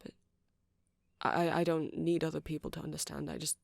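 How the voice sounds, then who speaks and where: A man's voice: a little high, flat delivery, fairly quick, creaky, dry recording, studio quality. A man playing a shy, earnest, nerdy vampire in an ASMR roleplay, speaking in a hesitant, rambling, stammering way. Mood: earnest, defensive. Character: shy, earnest, nerdy male vampire running a music shop.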